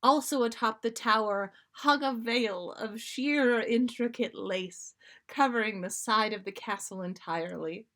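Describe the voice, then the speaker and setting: A woman podcast host reading fan fiction aloud on a livestream in an amused, expressive storytelling way. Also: on the high side, measured pace.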